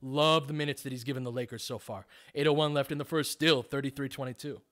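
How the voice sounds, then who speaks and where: A man's voice: mid-range voice, fairly even intonation, fast, a bit bright. A man doing live basketball play-by-play, speaking in clipped, informal phrases and adding tactical analysis as he goes.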